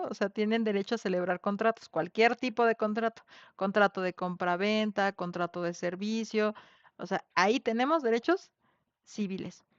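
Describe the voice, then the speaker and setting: A woman's voice: fairly high pitch, no room sound. Female Mexican lecturer, conversational, checks in with students often, dry and dull lo-fi online sound. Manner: conversational, frequent tag questions. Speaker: teacher leading an online class. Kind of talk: informal lecture with questions to students. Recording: dull lo-fi online class audio.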